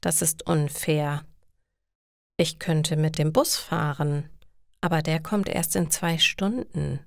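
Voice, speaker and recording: A woman's voice: low voice, fairly even intonation, slow, creaky, no room sound, studio quality, exaggerated voice. A woman voicing an anxious female care worker in a story, worrying and deliberating. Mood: worried. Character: Tina, anxious care-home worker facing snowy roads.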